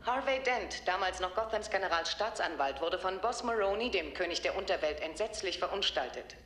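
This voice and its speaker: A woman reading scripted narration in a measured tone for a radio play. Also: fairly low pitch, expressive, fairly bright tone, roomy sound, a little hiss or hum.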